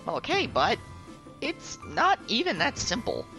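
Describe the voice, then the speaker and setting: A woman playing an excitable, easily exasperated teenage boy with an animated, emphatic delivery in a webcomic voice drama. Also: fairly high pitch, unhurried, soft background music, exaggerated voice. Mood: worried, hesitant. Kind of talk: dubbed webcomic dialogue acting.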